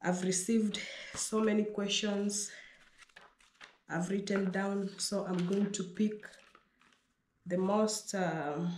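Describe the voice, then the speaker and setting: A woman with a Kenyan accent talks in an unhurried, deliberate way, reading each viewer's question out loud and then answering it herself. She speaks at a mid pitch, and her voice rings a little off the bare bedroom walls. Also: steady tone, a little hiss or hum. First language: Pokomo. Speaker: vlogger. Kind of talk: Q&A vlog. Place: small bedroom indoors. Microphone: fixed camera at desk distance. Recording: echoey bedroom.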